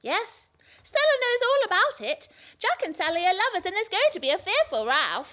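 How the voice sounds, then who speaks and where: A woman's voice: very high voice, big pitch swings, moderate pace, bright, forceful tone, full character voice. A woman playing a naive, guileless girl in a farcical radio play, chattering earnestly and dramatically. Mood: wide-eyed concern. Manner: earnest, wide-eyed, theatrical. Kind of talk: stage farce performed as radio play.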